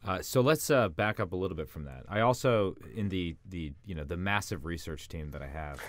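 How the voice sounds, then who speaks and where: Casual low-voiced man hosting a podcast. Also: a little fast. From Midwest. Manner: relaxed, joking, with ums and uhs. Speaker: podcast host, congressman. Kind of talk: casual interview.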